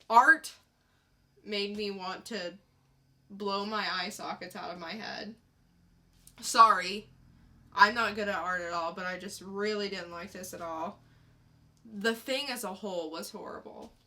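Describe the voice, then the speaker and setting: Blunt woman podcaster with a bright voice. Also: mid-range voice, measured pace, long pauses. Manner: blunt, sweary. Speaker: podcast co-host. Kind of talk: casual comics review chat.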